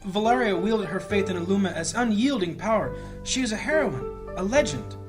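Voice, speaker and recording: A woman's voice: mid-range voice, lively intonation, moderate pace, faint music behind, close to an everyday voice. A woman playing a humble, troubled small-town priest, earnest and dramatic, in a fantasy audio drama. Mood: disbelieving.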